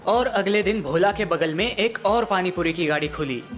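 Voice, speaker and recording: A woman's voice: on the low side, normal speed. Woman narrating over prominent music. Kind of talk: scripted children's story narration.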